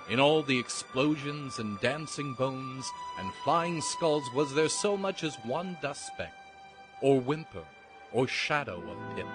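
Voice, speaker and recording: A man narrating a dramatized audiobook as a scripted, theatrical story. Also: medium pitch. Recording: music behind the narration.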